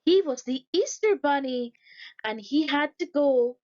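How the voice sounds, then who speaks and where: Woman, rhyming bedtime story reader, high voice, unhurried delivery. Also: dull audio. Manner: lively sing-song storytelling. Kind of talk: reading a rhyming children's story aloud.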